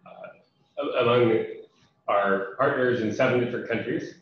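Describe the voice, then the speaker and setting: An American man giving a webinar presentation, speaking haltingly with many fillers. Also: mid-range voice, slight background noise. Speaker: program representative. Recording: echoey, dull, distant online call audio.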